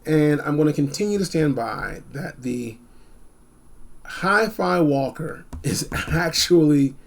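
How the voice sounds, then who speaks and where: A man with a fairly high voice and a clear fry in it chats off the cuff as a product reviewer. He is animated and emphatic, often circling back to repeat a phrase for effect. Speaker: product reviewer hosting a video. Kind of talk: casual, unscripted gadget review chat.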